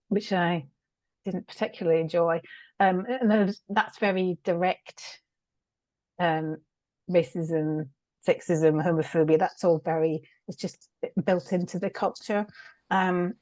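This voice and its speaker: A woman's voice: on the low side, steady tone, measured pace, a little mellow, dry recording. A middle-aged British woman, a guest on an interview show, reflecting on her work in a candid, conversational way, with frequent laughs and fillers. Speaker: guest, change consultant.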